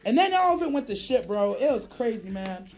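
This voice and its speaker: An American woman telling a story casually on a livestream, animated, profane and slangy, acting out the dialogue she quotes. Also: fairly high pitch, a little fast. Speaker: streamer. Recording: music behind the voice. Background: music.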